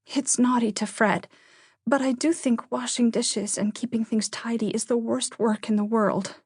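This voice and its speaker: A woman voicing a shy young girl, a gentle and mild peacemaking sister, in an audiobook. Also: fairly high pitch, steady tone, normal speed, slightly rough, dry recording, clean studio sound, voice pushed up. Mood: fretful.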